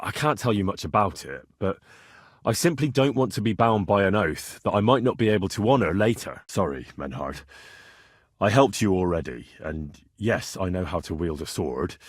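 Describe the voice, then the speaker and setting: A man's voice: fairly low pitch, breathy edge, dry recording, clean studio sound. An actor playing a plain-spoken, earnest and sometimes cheeky young Bohemian swordsman in a medieval video game. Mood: apologetic, hesitant. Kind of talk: video game voice lines, naturalistic dramatic acting.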